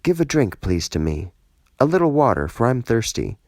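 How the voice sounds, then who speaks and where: A man's voice: medium pitch, big pitch swings, moderate pace, darkish tone, dry recording, clean studio sound. A man voicing a weary fleeing army commander who pleads emphatically, in a scripture audiobook. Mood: weary, pleading.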